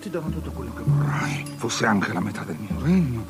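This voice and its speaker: A man's voice: mid-range voice, sing-song, a little fast, music in the background, natural voice. An actor playing a lecherous, fearful biblical king in a theatrical drama, stammering and repeating himself obsessively between whispers and shrieks. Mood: pleading, enticing. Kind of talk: avant-garde theatrical radio drama.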